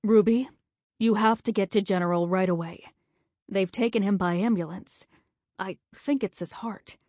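A woman voicing an awkward male colleague who speaks in a halting, subdued, apologetic way. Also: mid-range voice, a little mellow, no room sound, clean studio sound, lightly acted.

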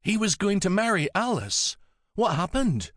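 A man's voice: high-pitched, big pitch swings, moderate pace, dry recording, clean studio sound, voice pushed up. A man voicing a tender, anxious younger woman who speaks in an earnest, emotional and pleading way. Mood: curious.

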